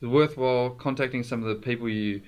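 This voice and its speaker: A man presenting an informal software tutorial, explaining things directly to the viewer in a conversational way. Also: mid-range voice, fast talker.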